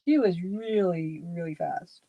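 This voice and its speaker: A woman chatting on a crafting livestream, easygoing, telling little stories that trail off softly. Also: on the low side, a little slow, dry recording, muffled recording. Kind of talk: casual chat.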